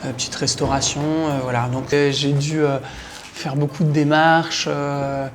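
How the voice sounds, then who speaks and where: Male interviewee from the Paris region, fairly high voice, hesitant conversational delivery, faint music behind. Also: little pitch movement, measured pace. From Paris region, France. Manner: hesitant, searching for words. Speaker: interviewee, café-gallery owner. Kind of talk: casual documentary interview.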